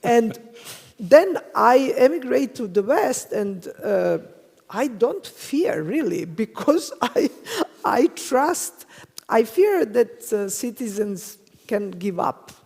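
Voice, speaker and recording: A woman's voice: a little high, very animated, takes it slowly, vocal fry, a little mellow, husky. A woman with a Serbian accent speaking on a conference panel, hesitant and searching for words, laughing easily. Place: conference hall. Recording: reverberant conference hall.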